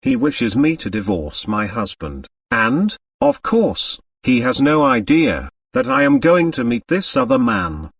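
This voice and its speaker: A man plays a wealthy young wife in a storybook, distraught and full of dread. She confides dramatically, with a lively swooping pitch, heard a little away from the microphone. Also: unhurried, hardly any pauses, no room sound, studio quality, far from the mic. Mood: anxious. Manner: agitated, dramatic, confessional.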